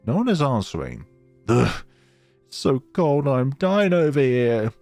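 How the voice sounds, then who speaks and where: A man's voice: mid-range voice, sing-song, measured pace, a little mellow, faint music behind. A man hosting a gaming let's play, reading story text aloud dramatically and dropping in casual asides. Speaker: gaming channel host.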